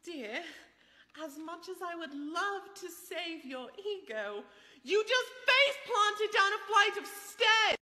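A woman's voice: very high voice, very animated, slow pace, bright, forceful tone, full character voice. A woman playing an exasperated lady in a cartoon skit, theatrical and sarcastic. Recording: long reverberant hall sound.

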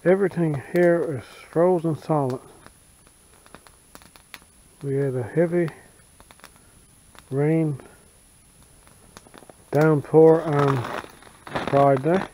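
A man talking in a plain, unhurried, folksy way while showing his camp in the snowy woods, recorded on a wearable camera. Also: on the high side, fairly even intonation, mellow, poor audio. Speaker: bushcraft vlogger. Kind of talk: casual outdoor narration. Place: snowy forest outdoors.

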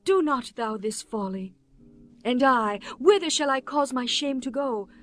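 An actress playing a distressed young princess in a dramatized audio Bible, pleading in archaic formal speech. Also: female voice, high-pitched, a little slow, fairly bright tone. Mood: anguished pleading.

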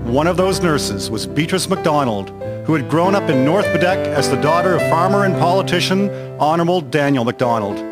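A man narrating a historical radio drama from a script in a measured, formal reading. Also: on the high side, expressive, loud music behind the voice.

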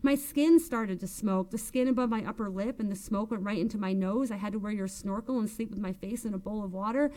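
A woman's voice: mid-range voice, fairly quick, few pauses, warm tone, natural voice. A woman playing a prim, anxious woman. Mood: defensive. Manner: prim, earnest, hesitant. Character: timid, prim, anxious woman defending herself.

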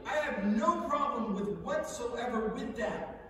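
A man's voice: extremely high pitch, lively intonation, measured pace, pushed and bright, slight background noise. A man delivering a persuasive speech in a wry, conversational way from a podium. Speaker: speaker at a podium. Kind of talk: staged reading of a persuasive speech. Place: large theatre stage, indoors. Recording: echoey theatre hall from far off.

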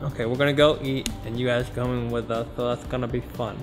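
A man's voice: medium pitch, flat delivery, fast, over music. A young man vlogging casually into a handheld camera in his apartment, playful and goofy. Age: young adult. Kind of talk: casual vlog talk. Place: apartment indoors. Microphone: handheld camera at arm's length.

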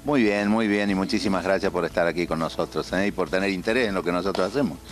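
Low-voiced Argentine man, measured and earnest. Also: faint music behind. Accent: Argentine Spanish. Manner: measured, earnest, with frequent "eh" pauses. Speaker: TV host and producer as guest. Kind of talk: casual interview. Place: TV studio set, on a couch. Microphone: handheld mic held by interviewer.

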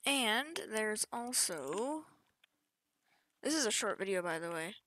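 Casual gamer woman, mid pitch, chatty and offhand, dry close recording. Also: very animated, slow pace, fairly bright tone, no echo. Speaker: gaming youtuber. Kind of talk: casual gameplay walkthrough.

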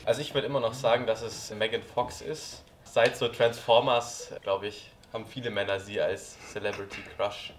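A man in his twenties, a contestant on a dating show, chatting in a relaxed way. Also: fairly low pitch, moderate pace, hardly any pauses, slight background noise. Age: 20s. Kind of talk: casual chat. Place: studio.

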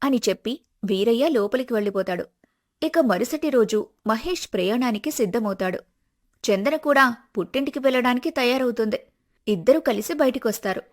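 A woman's voice: on the high side, lively intonation, fairly quick. A woman storyteller reading scripted narration for a family story.

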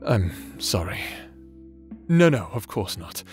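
A man's voice: on the low side, sing-song. A man playing a doting, theatrical necromancer husband in a romantic ASMR audio drama, teasing and formal in his diction. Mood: amused scorn. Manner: teasing, slow, formal old-fashioned diction. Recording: soft instrumental music bed behind voice.

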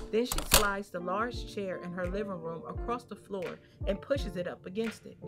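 A woman reading scripted narration for an audio drama, describing the action. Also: mid-range voice. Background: music.

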